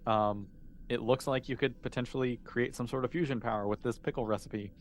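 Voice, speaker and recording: Guy game mastering, kinda halting, making up the story as he goes, bit of background noise. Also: medium pitch, steady tone. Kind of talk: improvised game narration.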